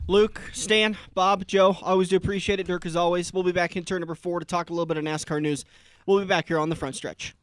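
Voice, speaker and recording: A man's voice: high voice, little pitch movement, fast, clear, resonant tone, no room sound. A man hosting a radio show, easygoing and laughing along with his guests in casual chat. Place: radio studio.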